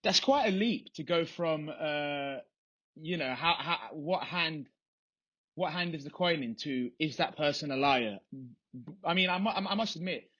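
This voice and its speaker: A man's voice: fairly high pitch, measured pace, fairly bright tone. A man hosting a podcast interview, asking long, rambling questions with frequent restarts. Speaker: podcast host. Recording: dull, muffled lo-fi audio.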